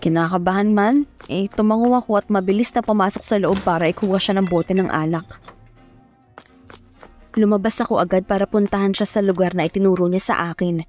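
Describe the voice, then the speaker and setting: A woman's voice: medium pitch, fairly even intonation, fairly quick, a little mellow. Young woman narrating over music. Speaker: narrator and lead actress of an audio romance serial. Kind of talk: first-person dramatic storytelling narration. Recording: music bed under the voice.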